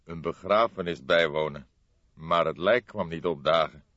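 A man voicing an old grizzled police detective in an audiobook, calm, dry, wry and deliberate. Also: low-pitched, no room sound, dull audio.

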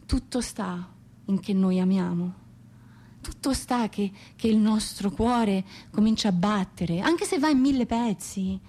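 A woman's voice: medium pitch, unhurried, dark tone, close to an everyday voice. A woman acting an anguished, impassioned exiled poetess. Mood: pleading, anguished. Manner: impassioned, declamatory. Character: anguished exiled Russian woman poet, pleading yet defiant. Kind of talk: theatrical radio monologue.

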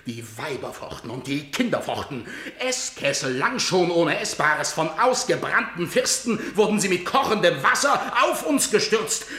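An actor playing a grim messenger of defeat in a radio drama, declaiming solemn stage verse with a rhetorical manner. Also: male voice, on the high side, sing-song, pushed and bright, echoey room, heavily acted. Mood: grave, brooding, then agitated, horrified. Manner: solemn, rhetorical, measured delivery. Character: grim male battle messenger bearing news of catastrophic defeat.

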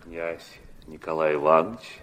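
An actor playing a courteous, stiff prince with a military bearing, formal and measured, in a Russian radio play. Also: male voice, a bass voice, flat delivery, a bit bright, clear, resonant tone. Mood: formal courtesy.

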